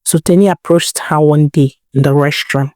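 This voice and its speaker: A woman narrating a moral folktale as a storyteller, in an expressive, emphatic manner with rhetorical questions. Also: deep voice, moderate pace, no echo, clean studio sound. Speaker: storyteller, channel host.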